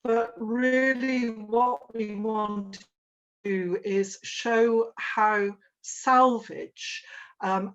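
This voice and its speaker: A middle-aged woman giving an online presentation, halting and full of fillers and restarts. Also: mid-range voice. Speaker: webinar presenter. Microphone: video call.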